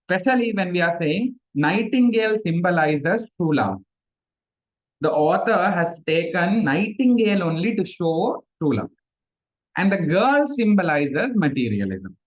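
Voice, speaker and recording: A man's voice: high voice, lo-fi sound. A man, a Tamil speaker, giving a casual online revision class, chatty and self-mocking. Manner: chatty, mixes in Sinhala, laughs at himself. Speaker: tutor. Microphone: video call.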